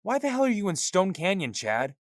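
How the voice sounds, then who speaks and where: A man's voice: sits high, big pitch swings, a little fast, dry recording, clean studio sound, voice pushed up. A man voicing a hot-headed young man, angry and defiant, swearing at everyone in an audio drama.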